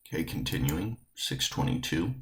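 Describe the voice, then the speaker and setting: A man's voice: rumbling low, lively intonation, moderate pace, fairly bright tone, a little hiss or hum. A man teaching informally, guiding students through a read-along lesson. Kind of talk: informal spoken lesson instruction.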